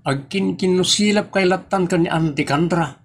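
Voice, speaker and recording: A man's voice: high voice, moderate pace, creaky, a little hiss or hum. A man playing a teasing nephew in a comedy audio drama, talking in a playful way. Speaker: nephew teasing his aunt.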